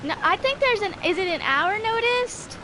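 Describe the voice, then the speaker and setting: A woman's voice: extremely high pitch, expressive, moderate pace, background noise. A woman in her twenties chatting casually on a game stream in an informal, rambling way. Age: 20s. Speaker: gaming streamer.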